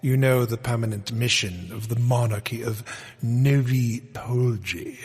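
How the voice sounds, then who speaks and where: A man's voice: mid-range voice, unhurried, a little mellow, husky. An actor playing a pompous, self-important male museum curator in a comedic audio drama, full of boastful condescension. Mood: matter-of-fact. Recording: reverberant hall.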